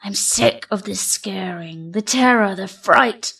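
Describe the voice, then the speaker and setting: A woman voicing a tall, thin male skeleton in a storybook poem, theatrical, forceful and emphatic. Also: medium pitch, takes it slowly, creaky, slightly rough, full character voice. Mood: weary, fed up.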